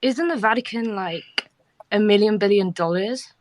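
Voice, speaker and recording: A woman's voice: medium pitch, normal speed, a little hiss or hum, close to an everyday voice. A British woman reading the part of a sleepy, blunt young woman, in a casual, unpolished way. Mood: cheeky. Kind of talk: script read-aloud on a live audio chat.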